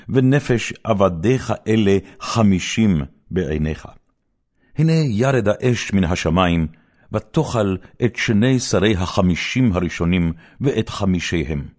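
A man reading scripture as a scripted narration, solemn and declamatory, in measured phrases with dramatic emphasis. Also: fairly low pitch, darkish tone. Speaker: audiobook Bible reader.

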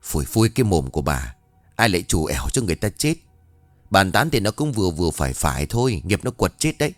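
A man's voice: sits low, big pitch swings, no room sound, soft background music. A man doing a cautious, superstitious old market woman. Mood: cautioning. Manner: warning, chiding, measured.

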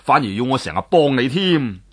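A tired, gruff warrior, as a storyteller voices him, speaking low and sighing. The voice is husky and rough-edged, plain-spoken and soft-hearted underneath. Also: male voice, big pitch swings, fairly quick. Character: weary tough warrior man, gruff but soft-hearted.

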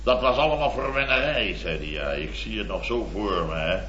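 An actor playing a flustered, blustering middle-aged boss of a building firm in an old radio comedy, rambling, stammering and repeating himself. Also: male voice, lowish voice, lively intonation, moderate pace, fairly bright tone, a little hiss or hum. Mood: nostalgic, excitable. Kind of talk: 1970s Dutch radio comedy acting. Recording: dull, roomy dated radio recording.

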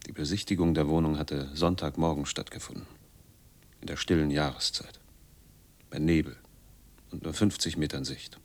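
Husky, low-voiced embittered man. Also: fairly even intonation, moderate pace, long pauses. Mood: resigned, rueful. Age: 40s. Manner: sardonic, intense, with clipped fragments. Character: embittered, noise-obsessed man in his forties, obsessive and sardonic. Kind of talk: radio drama monologue, narrated recollection.

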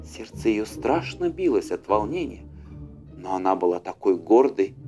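A man narrating a fairy tale as an audiobook, with an expressive, dramatic storyteller's delivery. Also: on the low side, moderate pace. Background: faint music.

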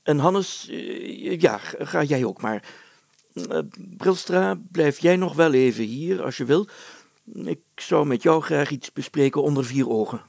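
A man's voice: on the high side, expressive, measured pace, creaky, a little mellow, dry recording, pitched above the performer's own voice, full character voice. A man voicing a pompous, jovial village mayor who speaks emphatically and hems solemnly in a storybook reading. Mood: official, blustery.

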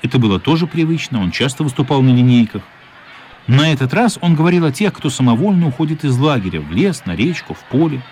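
A man's voice: mid-range voice, big pitch swings, quick pace, a little mellow, slight background noise. A man narrating a literary radio play as a scripted storyteller.

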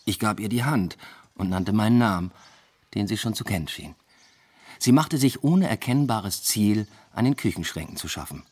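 A man's voice: fairly low pitch, animated, normal speed, a little mellow, breathy edge. An actor playing a reserved young man who tells of a new love affair in a radio drama, understated and matter-of-fact. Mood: reflective, understated. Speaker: narrator and lead character. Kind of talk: first-person radio drama narration.

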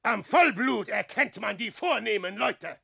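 Boastful adventurer, wildly swooping voice. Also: male voice, high voice, expressive, unhurried, gravelly, a little hiss or hum, exaggerated voice. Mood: smug musing. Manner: declamatory, swinging from hushed musing to crowing. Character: boastful, lecherous, self-deluding adventurer posing as a prophet. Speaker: actor. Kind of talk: theatrical verse radio drama acting.

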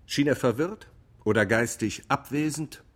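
An actor playing a formal male examiner in a radio drama courtroom, putting measured, probing questions to witnesses. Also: mid-range voice, natural voice.

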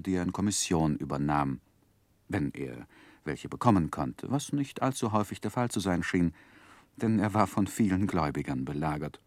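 A man's voice: sits low, darkish tone, no room sound. An actor playing a grown man who earnestly recalls his boyhood, narrating in a literary 1960s German radio play style. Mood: reflective, wry.

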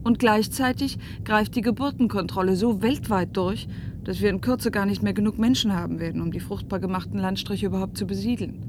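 An actress playing an earnest, tense woman fugitive in a German radio play, speaking emphatically and deliberately. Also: medium pitch, noisy background, close to an everyday voice.

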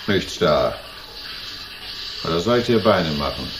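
Drawling drunken thug, swinging pitch. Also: male voice, expressive, measured pace, reverberant, background noise. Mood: drunken menace. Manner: drawling, taunting, bullying. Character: drunken, belligerent bodyguard thug, menacing and mocking. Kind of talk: radio crime drama acting.

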